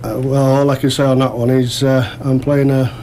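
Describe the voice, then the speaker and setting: A man with a dark, mellow, mid-pitched voice. He chats casually and modestly as an interview guest, with faint noise in the background. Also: little pitch movement, normal speed. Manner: modest, self-deprecating. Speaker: amateur actor interview guest. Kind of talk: casual radio interview chat.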